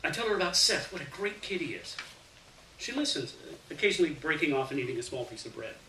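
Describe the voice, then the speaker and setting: Bright-voiced man reading fiction, roomy and distant. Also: on the high side, very animated, fast talker. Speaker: story reader. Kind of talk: live literary fiction reading, first-person narration. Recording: roomy, distant mic, faint hiss.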